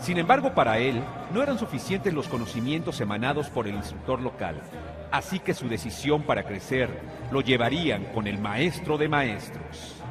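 A man's voice: mid-range voice, expressive, normal speed. A man reading a dramatic, grandiose scripted documentary narration, played over arena speakers. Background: loud music.

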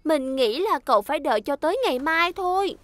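A woman's voice: high-pitched, expressive, a little fast, fairly bright tone, no echo. A woman voicing a cheerful young vehicle character in a dubbed children's cartoon, bubbly and childlike. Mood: apologetic. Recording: music behind the voice.

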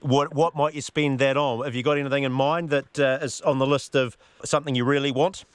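A man's voice: mid-range voice, steady tone, fairly quick, smooth, dry recording. A man interviewing as a radio sports editor, asking questions with small stumbles and restarts. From New Zealand.

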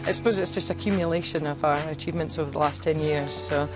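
A woman's voice: low-pitched, fairly even intonation, fast talker, low-quality recording. A woman, giving a casual interview as a club founder. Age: 30s. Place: open grass sports field. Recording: music behind outdoor interview.

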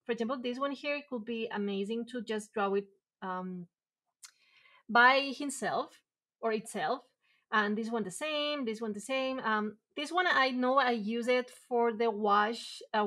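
A woman's voice: fairly high pitch, a bit bright. Woman chatting while she draws. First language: Spanish. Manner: rambling, many fillers and restarts, self-deprecating laughs. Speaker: artist streaming a drawing session. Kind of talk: casual chat while drawing. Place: home room, indoors.

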